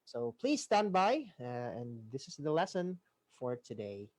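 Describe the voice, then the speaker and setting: A woman with a Filipino accent co-hosting, giving unscripted reminders in a hesitant, halting way. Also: sits low, big pitch swings. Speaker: co-host.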